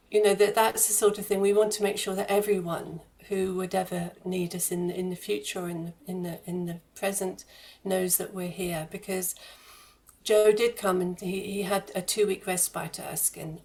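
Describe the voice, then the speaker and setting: A woman's voice: medium pitch, flat delivery, moderate pace, darkish tone. A woman speaking as an interview guest, explaining things earnestly in a conversational way. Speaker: interview guest, charity fundraising head.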